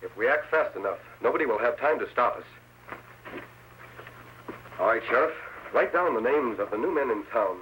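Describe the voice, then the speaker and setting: Male actor as masked western hero, forceful bright tone, authoritative, decisive, crisp orders, old radio drama, slight hiss. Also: mid-range voice, lively intonation, normal speed, long pauses, lightly acted, poor audio. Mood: planning. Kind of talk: vintage radio western acting. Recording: hissy old radio recording.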